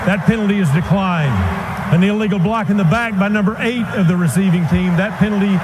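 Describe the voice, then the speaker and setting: A male referee makes formal penalty announcements in a high-pitched voice. His delivery is official and formulaic, one ruling at a time. Clear noise surrounds the voice. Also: fairly quick. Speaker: football referee. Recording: noisy stadium announcement on broadcast.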